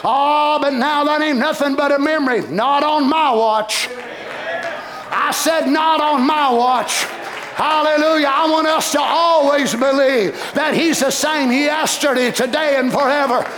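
An older man preaching a fervent sermon, breaking into shouted bursts and cries of hallelujah. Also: very high voice, measured pace, crowd noise.

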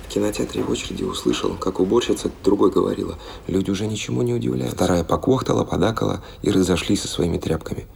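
A man with a very gravelly voice reading scripted storytelling narration for an audio play. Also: sits low, lively intonation, quick pace, hardly any pauses, darkish tone.

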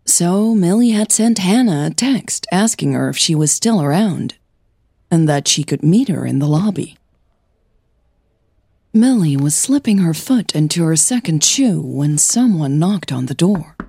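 A woman podcast host reading scripted fiction as narrator, in a measured storytelling manner. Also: on the low side, lively intonation, dark tone, slightly rough, dry recording, studio quality.

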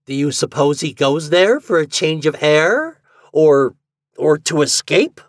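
A man voicing an eager, twitchy, unhinged man in an audiobook story, speaking with urgent, conspiratorial excitement. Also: medium pitch, unhurried, breathy edge, no echo, clean studio sound, voice pushed up. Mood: agitated.

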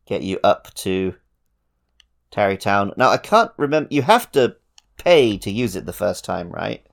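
A man co-hosting a gaming podcast in relaxed, informal conversation about a video game. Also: on the low side, measured pace, vocal fry.

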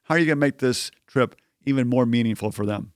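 A man's voice: mid-range voice, fast talker, no echo, studio quality. A man interviewing a student, asking encouraging follow-up questions in a conversational way.

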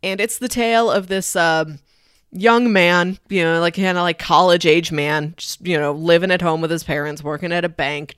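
A woman with a fairly low voice talks casually as a podcast co-host. The sound is dry and close. She tells things in a conversational, playful storytelling way, with little asides. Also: fairly bright tone, dry recording. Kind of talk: casual film discussion.

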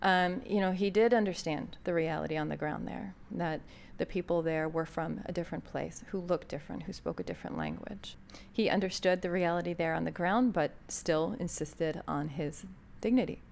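Gravelly low American woman host. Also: normal speed, few pauses, a little mellow. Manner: thoughtful, conversational, frequent fillers. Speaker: podcast host. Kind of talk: reflective podcast introduction.

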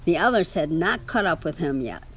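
A woman's voice: deep voice, animated, normal speed, breathy edge, rough recording. A woman reading a children's story aloud to a child, with casual asides.

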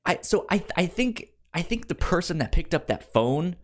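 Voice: mid-range voice, big pitch swings, moderate pace. A podcast co-host with a stutter, repeating sounds and words while telling an informal story in casual chat and acting out the dialogue.

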